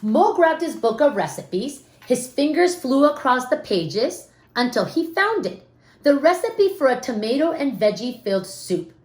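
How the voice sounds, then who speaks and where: A woman reading a children's story aloud expressively, with dramatic pauses and questions to her young listeners. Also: high-pitched, very animated, a little mellow. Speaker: storybook reader. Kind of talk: animated children's read-aloud with asides to listeners. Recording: roomy, echoing room sound.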